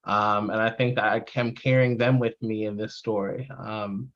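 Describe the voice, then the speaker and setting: A man's voice: on the low side, steady tone, moderate pace, fairly bright tone, lo-fi sound. A man speaking as a panelist in an informal, thoughtful and earnest way. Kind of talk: casual reflective discussion.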